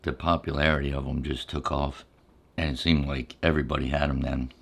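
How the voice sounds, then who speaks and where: A middle-aged man telling casual stories in an ASMR style, unhurried and halting as he reminisces. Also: rumbling low, little pitch movement, gravelly, husky. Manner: unhurried, halting, reflective recollection. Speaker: ASMR storyteller. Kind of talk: ASMR casual storytelling while eating.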